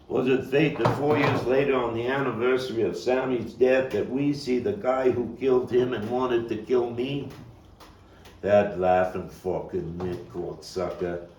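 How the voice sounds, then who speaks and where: A man performing a dramatic monologue as a Brooklyn man recalling a violent boyhood, halting and deliberate, with repeats and weighty pauses. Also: deep voice. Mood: grim, bitter. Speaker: actor and playwright reading his own monologue. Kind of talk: dramatic monologue at a table read. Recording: roomy, distant mic with faint background noise.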